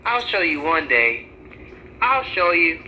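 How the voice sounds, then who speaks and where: A man's voice: a little high, very animated, takes it slowly, pushed and bright, a little hiss or hum, pitched above the performer's own voice, exaggerated voice, poor audio. A man voicing a tender, easily hurt young male reindeer in a storybook, with a plaintive, childlike delivery. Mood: hurt, defiant.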